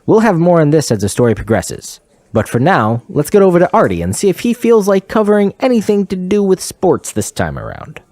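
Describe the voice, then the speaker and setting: A man playing a solemn TV news anchor with formal, measured delivery in a parody newscast. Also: high-pitched, wildly swooping pitch, darkish tone, heavily acted.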